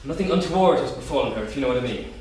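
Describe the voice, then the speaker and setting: A man's voice: medium pitch, expressive, rapid-fire, a little mellow, close to an everyday voice. An actor playing a deferential, embarrassed hotel clerk in a staged reading, hesitant and stammering as he tries to be tactful. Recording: echoey theatre, distant mic, faint noise.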